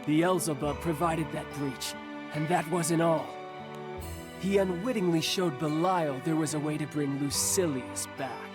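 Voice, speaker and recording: A theatrical holy messenger in a game, lofty old-fashioned pompous speech, music going behind. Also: female voice, deep voice, measured pace. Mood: solemn, grandiose. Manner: lofty, archaic, pompous declamation. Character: grandiose divine emissary Primal, theatrical, exuberant, young-sounding. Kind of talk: scripted video game dialogue.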